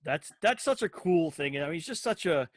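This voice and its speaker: A high-pitched male voice, recorded dry and close. He is a podcast host who chats casually and gushes with enthusiasm, reaching loosely for his words. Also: animated, brisk, no room sound. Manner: enthusiastic, effusive. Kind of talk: casual chat.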